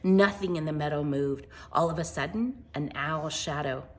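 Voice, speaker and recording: A woman reading a picture book aloud to children in an expressive, wonder-filled way, with chatty asides and hushed moments. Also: sits low, moderate pace. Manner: animated, wonder-filled, addressing young listeners, dropping to hushed tones.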